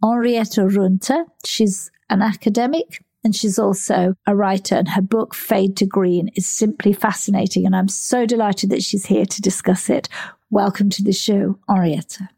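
A woman's voice: medium pitch, fairly even intonation, moderate pace, vocal fry, mellow, slightly rough. A woman hosting a podcast, introducing her guest and asking about her story. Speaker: podcast host. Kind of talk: scripted podcast intro and interview.

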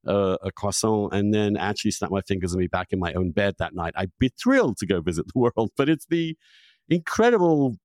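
A man hosting a conversational interview podcast, talking in an effusive, halting way and repeating words for emphasis. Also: on the low side, very animated, darkish tone, no room sound, studio quality. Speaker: podcast host. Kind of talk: conversational interview with long personal introduction.

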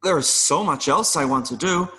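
A man playing a gentle, wistful mayfly in an audio play, speaking in an earnest, philosophical way with small hesitations. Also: fairly high pitch, moderate pace. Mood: wistful longing. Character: gentle, wistful mayfly longing to see the world.

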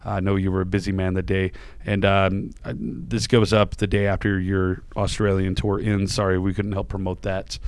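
A man's voice: sits low, flat delivery, moderate pace, clean tone, dry recording. A man hosting a casual podcast, rambling with lots of fillers and restarts, tired and a little dry-voiced. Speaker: podcast host. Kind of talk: casual podcast chat and interview.

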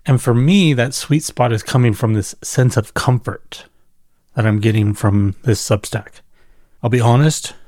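A man giving a casual, reflective solo monologue off the cuff like a podcast host, conversational and thoughtful, leaning on repetition and pauses for emphasis. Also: mid-range voice, warm tone, no echo, clean studio sound. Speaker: podcast host and newsletter writer.

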